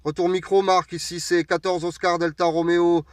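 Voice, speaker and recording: A man from France with a fairly high voice, picked up a little way from the mic in a dry space. He chats casually over amateur radio, hesitating and dropping in radio jargon. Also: level tone, moderate pace, no echo. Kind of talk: casual radio contact chat. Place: parked vehicle outdoors on an open plateau. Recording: distant mic, recorded away from the speaker.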